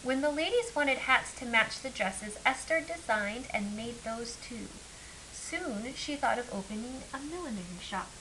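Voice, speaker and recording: A woman reading a children's picture book aloud as an expressive storyteller. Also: fairly high pitch, unhurried. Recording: clear background hiss.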